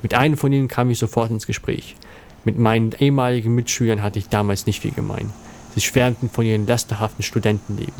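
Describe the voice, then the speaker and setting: A man's voice: fairly low pitch, level tone, quick pace, darkish tone. A man playing a zealous, disdainful young convert, narrating earnestly in a scripted audio drama. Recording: clear background noise and ambience.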